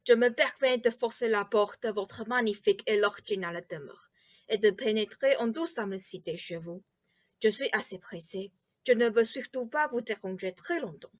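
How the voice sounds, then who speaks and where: A woman's voice: mid-range voice, normal speed, dry recording, natural voice. A woman playing a courteous, eccentric king and doting father, speaking formally and over-politely in a radio play. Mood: courteous, confiding. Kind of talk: theatrical radio play acting.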